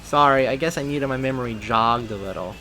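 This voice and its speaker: A man's voice: mid-range voice, fairly quick, pushed and bright, soft background music. A man voicing a flustered young man hero who covers his confusion and plays along nervously. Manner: nervous, hesitant.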